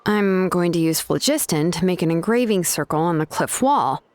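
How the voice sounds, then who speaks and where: A woman's voice: on the low side, animated, normal speed, a little mellow, lightly acted. A woman playing a laid-back but exacting young craftswoman in a video game, explaining things in a casual, matter-of-fact way.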